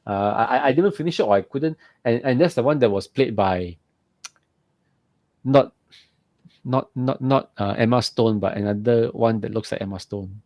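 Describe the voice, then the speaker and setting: Man, podcast co-host, jokey and animated, dry close mic. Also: mid-range voice, moderate pace, pauses often, dry recording. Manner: animated, repeats words, jokey. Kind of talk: casual chat.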